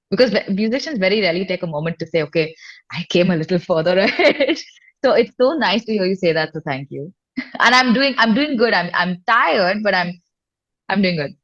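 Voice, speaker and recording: A woman's voice: medium pitch, fairly bright tone, dull audio. A woman with an Indian accent chatting casually and candidly as an interview guest, with informal phrasing. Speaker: singer-songwriter guest. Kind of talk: casual chat.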